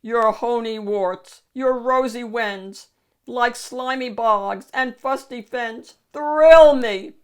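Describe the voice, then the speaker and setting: Woman playing a cooing, high-pitched ugly princess. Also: slow, clear, resonant tone. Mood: smitten. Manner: cooing, declaiming rhymed love lines. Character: stunningly ugly ogre princess, lovestruck and theatrical. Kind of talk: storybook character voice.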